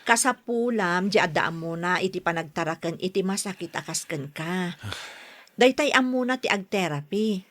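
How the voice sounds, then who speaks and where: A woman's voice: on the low side, lively intonation, moderate pace, a bit bright. A woman acting in a Filipino radio drama as a teasing, insistent younger sister who coaxes her brother. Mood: pleading, exasperated. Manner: coaxing, teasing. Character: patient's younger sister, bright, teasing, insistent office worker.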